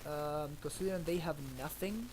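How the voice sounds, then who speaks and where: A man's voice: fairly high pitch, normal speed, noisy background. A man in his thirties from Argentina, streaming and commenting casually on his card game as he plays, thinking aloud with many fillers, on a headset in a small room.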